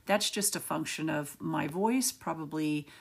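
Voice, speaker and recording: A middle-aged woman hosting a solo podcast, with a raspy, gravelly voice and a rapid, slightly manic delivery. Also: sits low. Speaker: podcast host.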